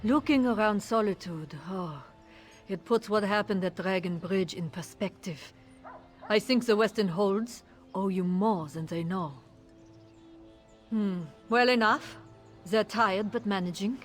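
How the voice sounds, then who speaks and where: An actress playing a thoughtful female companion in a video game, reflective and soft-spoken. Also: mid-range voice, unhurried, soft background music, natural voice.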